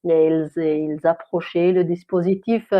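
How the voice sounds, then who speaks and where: Halting woman journalist, dull recording. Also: fairly low pitch, fairly even intonation. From Algeria. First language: Kabyle. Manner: halting, often searching for words. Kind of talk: personal testimony in an interview.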